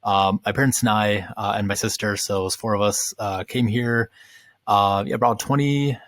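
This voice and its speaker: A man's voice: lowish voice, steady tone, normal speed, a bit bright, no echo. A man in his late twenties who grew up in the US after early childhood in Ukraine, talking in a rambling, casual way as a podcast guest, with many fillers and restarts. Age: 20s. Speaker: podcast guest, community founder. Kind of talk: casual interview talk.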